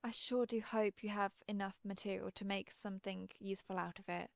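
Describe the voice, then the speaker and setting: A woman's voice: mid-range voice, steady tone, fairly quick, creaky, very noisy, lightly acted. A woman playing a friendly, centuries-old female vampire who chats politely and wryly, as in a scripted roleplay interview. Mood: gracious, friendly. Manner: genteel, conversational, apologetic, gently wry. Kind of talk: scripted roleplay audio, one side of an interview.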